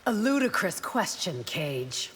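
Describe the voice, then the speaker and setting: Proud, stern warrior guard, reverberant. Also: female voice, lowish voice, sing-song, takes it slowly, fairly bright tone, husky, heavily acted. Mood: disdainful. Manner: formal, disdainful. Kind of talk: video game fight banter.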